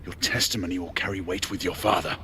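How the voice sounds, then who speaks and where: A man's voice: low-pitched, steady tone, quick pace, sharp tone, a little hiss or hum. A man playing a determined Victorian knight in a game cutscene, formal and earnest, with a British accent. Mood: resolute. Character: grave, determined Victorian knight, urgent and loyal. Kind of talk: video game cutscene acting, period drama.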